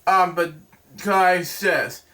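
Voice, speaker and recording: A man hosting a storybook read-aloud, halting and stumbling over words, drifting into rambling casual asides. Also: sits high, pushed and bright.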